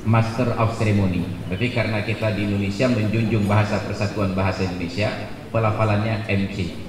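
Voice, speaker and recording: A man in his forties giving a jokey, animated sermon at a gathering, building to loud emphatic peaks. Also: lowish voice, fairly quick. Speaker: preacher. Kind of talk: humorous sermon at a religious gathering. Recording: echoey hall with background noise.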